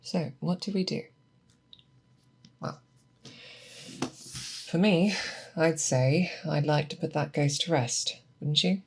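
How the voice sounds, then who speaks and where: A woman playing a seasoned, patient monster hunter in an ASMR roleplay, speaking softly and thoughtfully to an apprentice, close to the mic. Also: low-pitched, slightly rough, exaggerated voice. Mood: musing, grave. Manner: deliberate, hesitant, thoughtful explaining. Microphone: close binaural microphone.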